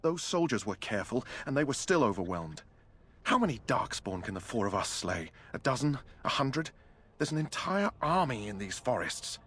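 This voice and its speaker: A man's voice: mid-range voice, very animated, moderate pace, fairly bright tone, breathy edge. A man playing an anxious knight who doubts and protests in an agitated way. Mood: fearful, agitated. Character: anxious, doubting knight afraid of monster hordes.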